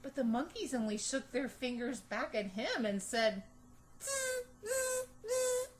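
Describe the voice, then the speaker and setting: A woman reading a children's story aloud as a storytime narrator, expressive and deliberate, with dramatic emphasis for young listeners. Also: fairly high pitch, slight background noise. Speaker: storytime reader.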